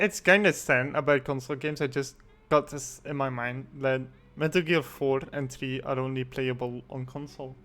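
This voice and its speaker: A man hosting a casual online interview show, easygoing and quick to chuckle. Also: medium pitch, fairly bright tone. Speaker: podcast host. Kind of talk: casual chat.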